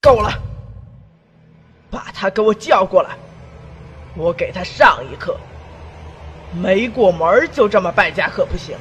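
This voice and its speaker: A man's voice: high voice, expressive, measured pace, long pauses, fairly bright tone, slight background noise. An actor playing a lovesick, whiny male demon lord trapped in a tower, grand but turning pleading and sulky, in an amateur radio drama. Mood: annoyed. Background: background music.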